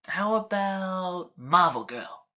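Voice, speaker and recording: A man playing a cheeky, excitable teenage superhero in an audio drama with boyish exuberance. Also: high-pitched, slow pace, heavily acted. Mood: excited.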